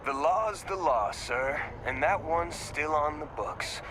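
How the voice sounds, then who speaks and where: An actor playing a smug, officious city security officer, deliberate and dismissive, in video game dialogue. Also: male voice, a little high, expressive, noisy background.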